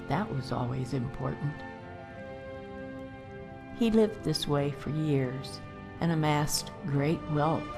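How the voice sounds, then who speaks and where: Casting: actress for elderly grandmother adventurer, game companion, low register, leisurely storyteller, feisty but grandmotherly, faint music underneath. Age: older. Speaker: companion character in a game mod. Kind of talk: voiced game character dialogue, storytelling.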